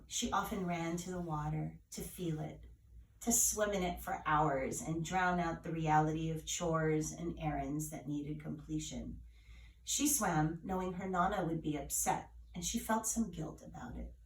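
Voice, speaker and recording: A woman reading a legend as scripted storytelling, with measured, dramatic phrasing. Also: lowish voice, slight background noise. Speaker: author reading her retold legend. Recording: roomy echo, distant from mic.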